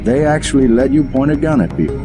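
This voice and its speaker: A man's voice: mid-range voice, animated, fast talker, close to an everyday voice. A man playing an easygoing, wry government agency doctor in a video game. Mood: wry, friendly. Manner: casual, wry. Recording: music behind the voice. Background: game music.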